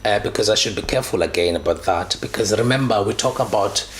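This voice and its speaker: A man's voice: on the low side, fast talker, a little hiss or hum, poor audio. A man hosting an interview podcast, asking questions hesitantly with many "uh"s and repeated words. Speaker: podcast host. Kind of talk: conversational interview.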